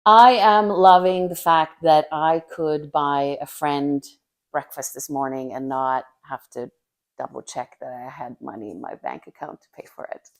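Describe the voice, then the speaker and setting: Low-voiced woman from Finland. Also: a little slow. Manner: thoughtful, earnest, with little laughs. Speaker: artist. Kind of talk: casual rapid-fire interview answers. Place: bright living room with art-covered walls. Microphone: camera across a table.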